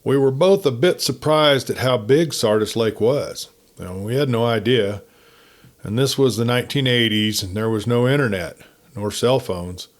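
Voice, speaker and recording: A man narrating a written campfire story in a folksy, conversational, unhurried manner. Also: medium pitch. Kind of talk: folksy scripted story reading.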